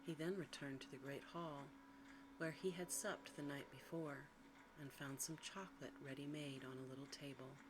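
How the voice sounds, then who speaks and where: A woman reads a bedtime story in ASMR style, in a soft, breathy, hushed and soothing whisper. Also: deep voice, steady tone, moderate pace. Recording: clearly audible background noise.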